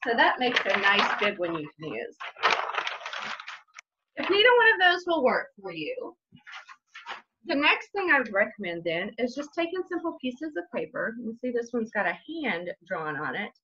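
A woman's voice: fairly high pitch, big pitch swings, moderate pace, pushed and bright, lo-fi sound, slight background noise. A middle-aged woman hosting a children's story time, giving craft instructions to camera at home. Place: small bright room at home, indoors.

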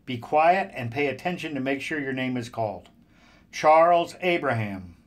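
A man playing a brisk school trip leader addressing students in an audio drama. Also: mid-range voice, animated, natural voice. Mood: brisk, commanding.

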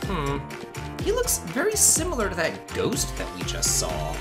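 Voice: mid-range voice, wildly swooping pitch, a little slow. A chatty, exclamatory let's play host giving casual commentary on a video game. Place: indoors, voice over game audio. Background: loud game music.

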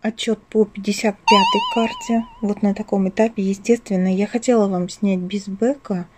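A woman's voice: medium pitch, huge pitch swings, normal speed, over loud music. A woman talking casually as a craft vlogger at home, rambling and interrupting herself in an affectionate way. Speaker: craft vlogger, channel host. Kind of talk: casual chatty craft vlog. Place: at home, indoors.

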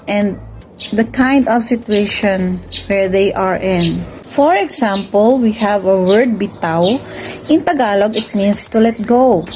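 Young filipina student reading her class report real slow, faint hiss behind. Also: mid-range voice, darkish tone, poor audio. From Philippines. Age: young adult. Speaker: student presenter.

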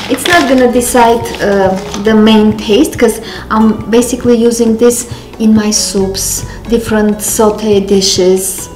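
A woman's voice: medium pitch, roomy sound, rough recording. A woman in her thirties whose first language is Armenian, hosting a cooking show in a large home kitchen and chatting casually, correcting herself and laughing at herself as she cooks. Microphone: handheld camera close up, then camera on counter.

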